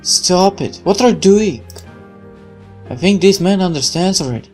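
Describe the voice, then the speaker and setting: A woman's voice: lowish voice, expressive, normal speed, a little mellow, faint music behind, pitched above the performer's own voice, natural voice. A woman voicing a naive, idealistic young male soldier, earnest and questioning, in a visual novel game. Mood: indignant.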